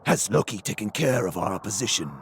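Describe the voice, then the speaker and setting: A man's voice: fairly low pitch, animated, fast, creaky, a bit bright, a little hiss or hum. An actor, a man, playing a menacing and impatient villain commander in a video game cutscene. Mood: businesslike.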